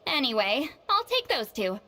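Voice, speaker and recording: A woman's voice: high voice, big pitch swings, moderate pace, pushed and bright, full character voice. An actress playing a proud, grumpy shaman woman in a video game, haughty and emphatic. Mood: eager, nerdy. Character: proud, grumpy great female shaman with geeky passions.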